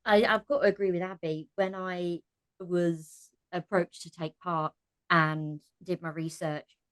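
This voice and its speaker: Female podcast guest, voice actor, lowish pitch, reflective and conversational, dry acoustic. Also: fairly even intonation, measured pace, fairly bright tone, dry recording. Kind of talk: reflective interview answers, casual.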